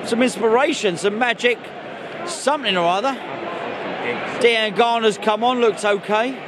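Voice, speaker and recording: A man vlogging a football match in a glum, halting way, giving off-the-cuff commentary as host. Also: extremely high pitch. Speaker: fan vlog host. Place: outdoors in a football stadium. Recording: very noisy outdoor stadium crowd recording. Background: loud crowd and outdoor noise.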